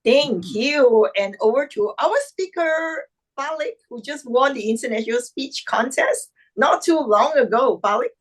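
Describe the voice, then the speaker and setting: Brief: upbeat female host of an online club meeting, a touch high-pitched, video call. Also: expressive, unhurried, rough recording. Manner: upbeat, exclamatory. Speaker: meeting host introducing guests.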